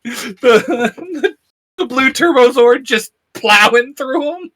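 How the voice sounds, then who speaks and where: Bright-voiced excitable man. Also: extremely high pitch, slow. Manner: excitable, laughs often, rambling. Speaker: co-host. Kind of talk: casual podcast chat.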